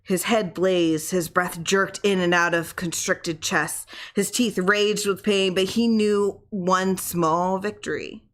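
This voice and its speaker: A woman's voice: fairly low pitch, fairly even intonation, slow pace, few pauses. A woman reading a horror short story aloud as scripted audiobook narration. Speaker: audiobook reader.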